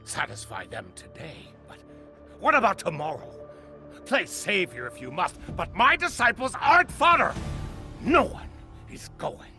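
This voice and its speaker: Casting: an aging game master-teacher, slow and grieving, bitter, swinging wildly in pitch. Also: very animated, pushed and bright, soft background music, heavily acted. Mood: scornful, angry. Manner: theatrical, impassioned, bitterly rhetorical. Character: aging, grief-stricken master mourning lost disciples, bitterly defiant. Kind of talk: dramatic video game acting.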